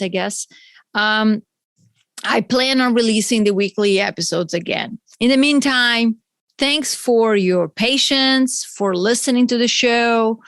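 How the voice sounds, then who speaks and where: A woman's voice: a little high, fairly bright tone, no room sound. A woman with a Brazilian accent hosting a solo health podcast, chatty and explaining terms with friendly asides. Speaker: podcast host.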